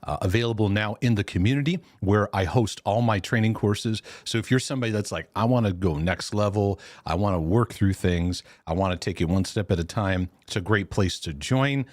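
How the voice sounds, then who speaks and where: A man's voice: fairly low pitch, a little fast, hardly any pauses, dry recording, clean studio sound. A middle-aged man hosting a live question-and-answer stream, congested and sneezing, talking in a rambling, self-interrupting way with laughs. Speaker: host.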